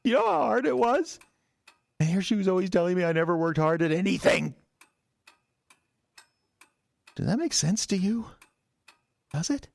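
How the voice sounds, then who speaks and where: An actor playing an agitated, defensive husband confessing to a killing in an audio drama, in a halting, stammering, rambling way. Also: male voice, sits high, big pitch swings, creaky, no room sound, full character voice. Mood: indignant.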